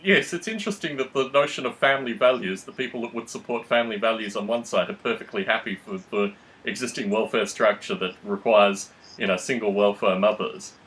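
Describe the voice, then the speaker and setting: A man's voice: on the low side, brisk, fairly bright tone, poor audio. A man hosting a discussion, speaking in long, articulate, analytical explanations with formal wording. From Australia. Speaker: podcast host.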